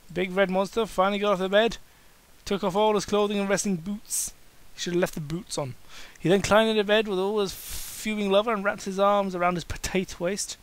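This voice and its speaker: A man's voice: high voice, fairly even intonation, fairly quick. A man giving a comic amateur dramatic reading of fan fiction, stumbling over words and breaking off to heckle the text with swearing.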